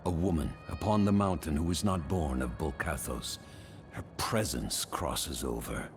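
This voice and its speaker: Very deep, slow, tormented warrior spirit. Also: male voice. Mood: grave, cryptic. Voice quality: very deep, weighty. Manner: slow, solemn, archaic phrasing. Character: tormented male spirit of a fallen warrior, weary and grave. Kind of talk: fantasy video game acting. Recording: loud music under the voice.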